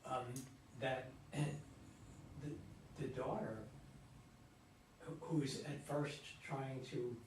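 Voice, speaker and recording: A man in a casual group discussion, measured and reflective, pausing to find words. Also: mid-range voice, steady tone. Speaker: audience member in discussion. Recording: very echoey, distant, faint noise.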